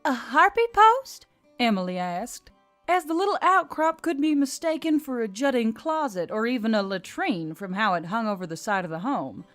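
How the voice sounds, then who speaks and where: A woman's voice: fairly high pitch, lively intonation, moderate pace. A woman reading scripted fantasy fiction aloud as the narrator. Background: faint music.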